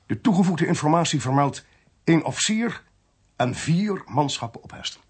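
An actor playing a blunt, commanding military intelligence chief in a radio drama, speaking in clipped, authoritative phrases. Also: male voice, a little high, lively intonation, normal speed, darkish tone, husky. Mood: grave explaining. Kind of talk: Dutch radio drama acting.

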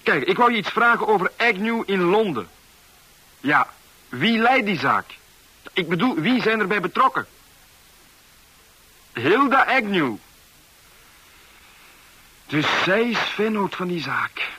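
An actor playing an earnest, hesitant young American art dealer in a radio drama. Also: male voice, high voice, sing-song, takes it slowly, pauses often, bright, forceful tone, slightly rough, heavily acted, low-quality recording. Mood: businesslike. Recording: old radio drama recording.

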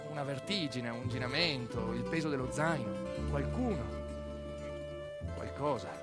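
A man performs a dramatic storytelling monologue for radio theatre, emphatic and full of deliberate pauses. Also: mid-range voice, loud music behind the voice. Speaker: actor-narrator of a theatrical monologue.

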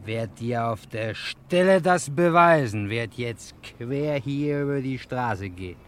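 An actor playing a cocky, tipsy private detective in a wry, swaggering 1950s radio crime play style. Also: male voice, mid-range voice, animated, slow pace, clear, resonant tone. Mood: tipsy, defiant. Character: tipsy hard-boiled male private detective, cocky and jaunty. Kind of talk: 1950s German radio crime play, first-person narration.

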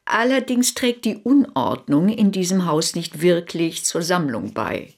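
Low-voiced, haughty, complaining lady. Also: sing-song, a little slow, a little mellow, full character voice. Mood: indignant. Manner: haughty, indignant, pointed. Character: lively, complaining lady guest of a hospice. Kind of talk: radio play acting.

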